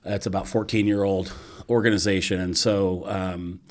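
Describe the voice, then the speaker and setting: Guest, middle-aged man; slightly low voice, measured and explanatory, studio boom mic. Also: steady tone. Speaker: nonprofit executive director, guest. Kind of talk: interview. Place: studio with green curtain. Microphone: studio mic on boom arm.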